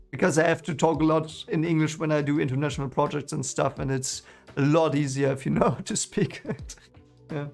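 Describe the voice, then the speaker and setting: A man's voice: fairly high pitch, vocal fry. A man with a German accent, German his first language, chatting casually with his live chat about a book he is reading, rambling with many fillers and restarts. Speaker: streamer reading a book series.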